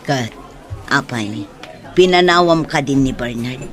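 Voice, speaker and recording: A woman's voice: extremely low pitch, animated, unhurried, fairly bright tone, heavily acted. An actress with a Filipino Ilocano accent playing a concerned, frank mother counselling others in an audio drama. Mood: reproachful.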